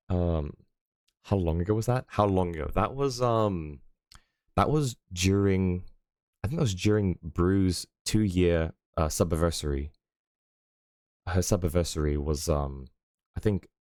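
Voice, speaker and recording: Deep voiced guy streaming from home, chatty and rambly, lots of ums, dry close mic. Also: a little mellow, no room sound. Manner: rambling, lots of fillers and chuckles. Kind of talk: casual stream chat.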